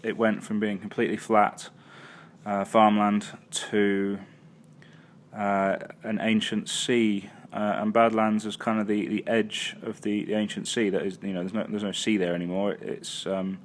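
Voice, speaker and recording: A man's voice: lowish voice, level tone, a little slow, a little hiss or hum. A man casually recapping his travels for a vlog, full of ums and uhs and self-corrections. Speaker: travel vlogger. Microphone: phone or handheld camera.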